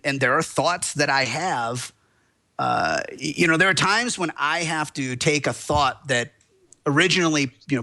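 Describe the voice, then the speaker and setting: A man who is a lawyer guest, talking in a rambling, excitable way with lots of restarts. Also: mid-range voice. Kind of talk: animated podcast discussion.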